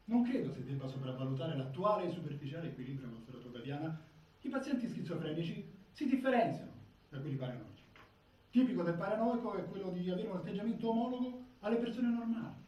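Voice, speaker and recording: An insistent, critical male psychiatric expert argues formally in a stage drama. His voice is high for a man, dull and distant in a strongly echoing space. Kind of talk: formal theatrical monologue. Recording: strongly echoing hall, far mic, dull sound.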